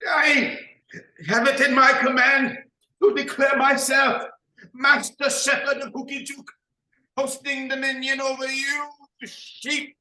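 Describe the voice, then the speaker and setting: A man's voice: level tone, measured pace, sharp tone, echoey room, heavily acted, rough recording. A man playing a domineering master shepherd. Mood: grandiose. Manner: commanding, theatrical, booming declarations. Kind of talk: staged play reading.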